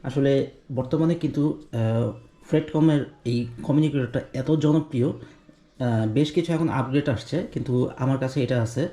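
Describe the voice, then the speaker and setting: Male vlogger, Bangladeshi accent, casual hesitant explainer, medium pitch, noticeably reverberant room sound. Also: normal speed, warm tone. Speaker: motorbike vlogger. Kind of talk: casual vlog talk to viewers. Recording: roomy echo, clearly noisy background.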